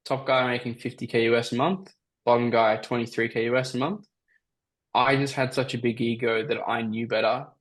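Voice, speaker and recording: A man with a slightly raspy voice, coaching on a call and giving casual, emphatic advice as a sales coach. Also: medium pitch, low-quality recording. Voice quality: raspy from talking all day. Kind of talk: coaching call, answering questions.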